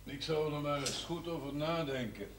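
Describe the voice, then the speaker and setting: An actor playing a grave, cautious doctor who speaks seriously and formally in a television drama. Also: male voice, fairly high pitch, normal speed, natural voice. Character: grave, cautious doctor giving a worrying prognosis. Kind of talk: 1970s Dutch television drama acting. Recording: slightly noisy old TV soundtrack.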